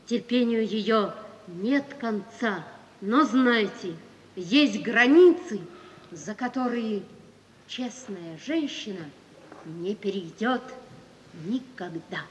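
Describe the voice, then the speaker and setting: An actress playing a gentle, wronged wife who speaks with dignified, solemn resolve in an old radio play. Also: female voice, medium pitch, expressive, slight background noise. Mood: solemn, pleading. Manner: solemn, measured, earnest declamation. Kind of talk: 1950s Soviet radio play acting. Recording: dull, distant 1950s radio recording, hall reverb.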